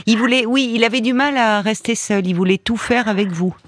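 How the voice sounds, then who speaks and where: A woman hosting a call-in advice radio show, gently probing and rephrasing what her caller says. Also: a little high, fairly quick. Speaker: radio host.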